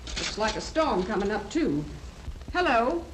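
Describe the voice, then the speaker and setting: A hard-working older farm wife in a 1930s radio drama. Her high voice is unhurried and lilting. The old recording is roomy. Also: very animated, noisy background. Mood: weary, folksy.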